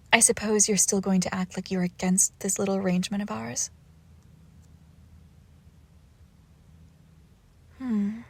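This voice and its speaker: Breathy, teasing vampire girlfriend acted by a woman. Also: medium pitch, a little mellow, exaggerated voice. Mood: teasing, haughty. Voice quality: breathy, often dropping into whispers. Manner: teasing, haughty, slow and deliberate. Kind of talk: intimate romantic audio roleplay, often whispered.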